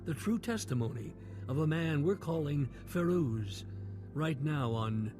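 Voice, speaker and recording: Male radio announcer, solemn and measured, music playing underneath. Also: fairly high pitch, lively intonation. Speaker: announcer and narrator. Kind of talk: scripted radio narration. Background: music bed.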